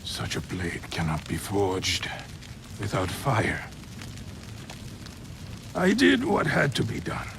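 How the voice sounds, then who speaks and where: An actor playing a proud, defeated middle-aged Japanese warlord in a video game, speaking gravely and solemnly as he faces death. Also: on the high side, a bit bright, slight background noise, full character voice. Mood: defiant, impassioned. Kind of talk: dramatic video game cutscene acting.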